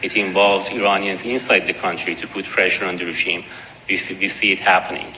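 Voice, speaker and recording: A man with a Persian accent giving a conference presentation, measured and dotted with hesitations. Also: low voice, fairly even intonation, pushed and bright. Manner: measured, with frequent uh fillers and restarts. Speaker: conference speaker. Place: conference hall. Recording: long hall reverb, faint noise.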